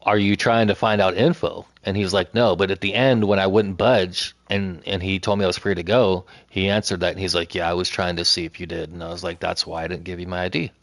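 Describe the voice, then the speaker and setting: A man in casual chat, recounting a run-in and quoting others in a lower, quieter voice. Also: steady tone, a little fast, hardly any pauses, no room sound.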